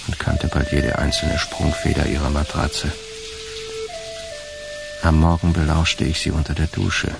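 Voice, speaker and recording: A man acting in a radio drama as a wistful fugitive who confides in his diary in a gravelly, measured voice. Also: heavily acted. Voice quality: deep, gravelly, creaky. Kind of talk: radio drama first-person narration.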